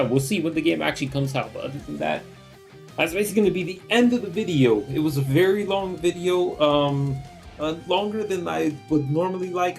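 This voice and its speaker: A man's voice: medium pitch, darkish tone. A man giving casual, unscripted game commentary as a video host, rambling informally and often checking in with the listener.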